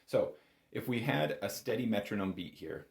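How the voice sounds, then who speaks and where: Low-voiced man teaching in an echoey room. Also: animated, moderate pace, gravelly, a little mellow, a little hiss or hum. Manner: hedging, self-correcting, emphatic on key words. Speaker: bagpipe instructor. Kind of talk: informal instructional talk. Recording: roomy, echoing room sound.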